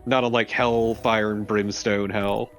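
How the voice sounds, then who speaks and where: A man's voice: fairly low pitch, level tone, measured pace. A man co-commentating on a video game in casual, wry banter with some swearing. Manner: wry, profane. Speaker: co-commentator of a let's play. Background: game soundtrack music.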